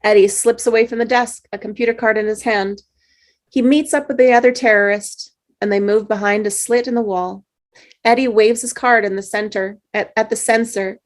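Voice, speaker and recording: A woman reading a screenplay's stage directions aloud at a table read, steady with small slips. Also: medium pitch.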